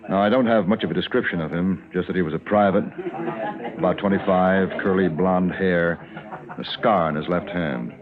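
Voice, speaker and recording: An actor playing a formal, authoritative army major in an old radio western, with a clipped, official manner. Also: male voice, sits low, moderate pace, phone-quality sound, lightly acted. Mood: courteous, businesslike. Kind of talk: 1950s radio western acting. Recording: thin old radio sound, crowd murmur.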